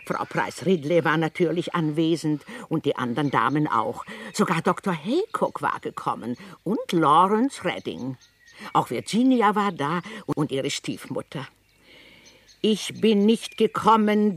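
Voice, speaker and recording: An actor playing a young man who narrates village events vividly in a radio drama, an astonished observer. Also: a little high. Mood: thundering. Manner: vivid storytelling, quotes with emphasis.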